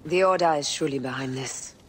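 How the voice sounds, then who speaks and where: A woman's voice: low voice, fast talker, slight background noise, lightly acted. A woman playing a resolute, devoted warrior wife of ancient Egypt in a video game, speaking formally and intently. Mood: grim resolve.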